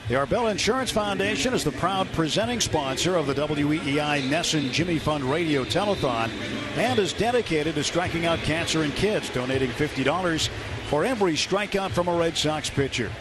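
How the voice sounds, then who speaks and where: A man with an American accent calls a baseball game live on radio. His voice sits a little high, and he describes the action in clipped phrases. There is clear ballpark noise behind him. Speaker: baseball play-by-play radio announcer. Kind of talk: live sports commentary. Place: outdoor ballpark broadcast booth.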